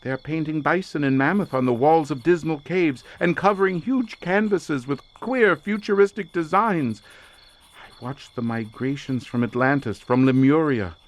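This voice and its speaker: An actor playing an obsessed researcher lost in a drugged visionary trance, speaking theatrically and haltingly in an audio horror drama. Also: male voice, a little high, close to an everyday voice. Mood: ecstatic wonder. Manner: theatrical, incantatory, halting with repeated words.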